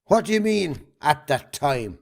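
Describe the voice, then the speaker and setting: A man's voice: fairly high pitch, big pitch swings, unhurried, vocal fry, full character voice. An Irish man acting a bitter, bullying middle-aged farmer in a stage drama, blunt and combative. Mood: demanding.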